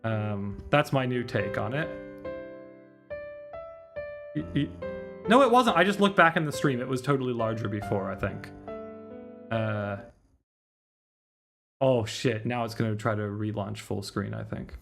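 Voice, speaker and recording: A man's voice: lowish voice, animated, a little slow, gravelly, pauses often. A man livestreaming a game, chatting casually and thinking aloud in slangy, mildly sweary language. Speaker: livestreamer playing a visual novel. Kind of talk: casual livestream chat while troubleshooting. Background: music.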